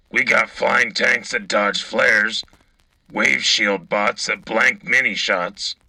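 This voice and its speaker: A gruff military radio co-host, acted by a man, with a lowish, very hard-edged voice. He is blunt and sardonic, husky and rough, recorded close and dry. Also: pushed and bright, no echo, exaggerated voice, poor audio. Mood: sardonic, grim. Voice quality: gravelly, husky. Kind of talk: in-character military radio commentary.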